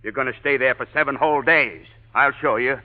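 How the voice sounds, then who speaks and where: An actor in a 1940s radio drama playing a gruff, irritable police commissioner who blusters and snaps, on a lo-fi old broadcast. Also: male voice, medium pitch, a little fast, loud music behind the voice, close to an everyday voice. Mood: exasperated. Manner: blustering, exasperated.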